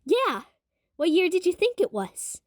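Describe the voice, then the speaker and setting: A woman's voice: very high-pitched, big pitch swings, unhurried, no echo, studio quality, voice pushed up, exaggerated voice. A woman playing a casual, upbeat young teenage girl who teases bluntly in an audio drama. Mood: amused, surprised. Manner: blunt, slangy, sarcastic.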